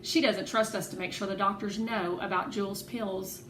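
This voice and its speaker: A woman reading a children's novel aloud as an expressive, animated narrator. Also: mid-range voice, normal speed. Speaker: read-aloud host. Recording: echoey room with clear background noise.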